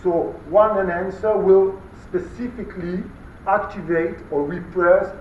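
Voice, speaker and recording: French-native guy giving a lecture, bit high voice, far off, lots of uh and restarts. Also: fairly even intonation, slight background noise. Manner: halting, many fillers and restarts. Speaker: guest lecturer. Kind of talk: university lecture. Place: large lecture hall, indoors. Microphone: camera far from speaker. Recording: muffled, far-off, echoey lecture hall.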